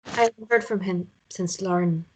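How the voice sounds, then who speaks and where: A woman's voice: on the low side, normal speed, a little mellow, slight background noise, close to an everyday voice, rough recording. A woman playing a sad, lonely mother in a drama table read, hesitant and subdued. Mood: cautious. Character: sad, lonely mother, quietly in love with a widower.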